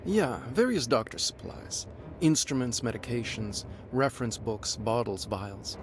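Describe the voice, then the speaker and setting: A man's voice: medium pitch, big pitch swings, a little slow, slight background noise. A man playing a fussy, eager police doctor in a period visual novel, explaining himself expressively. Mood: fussy, apologetic.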